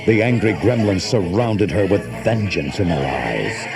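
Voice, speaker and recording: A man narrates a storybook adventure on an old cassette tape, with music behind him. His voice sits on the low side and moves up and down a lot. The delivery is dramatic and suspenseful. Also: big pitch swings, measured pace, gravelly. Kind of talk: scripted children's storybook narration.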